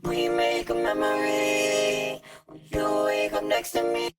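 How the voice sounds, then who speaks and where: A woman singing a pop vocal, processed with vocoder and reverb effects. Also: slow pace. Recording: echoey processed vocal with loud music.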